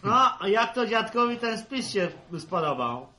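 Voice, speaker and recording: A cheeky young country son in an old Polish radio drama. The voice is high and sharp, brash and quick to tease, on a noisy vintage recording. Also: male voice, very animated, heavily acted. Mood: teasing. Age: young adult. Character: cheeky, hot-headed young farm son. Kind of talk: 1960s Polish radio serial acting.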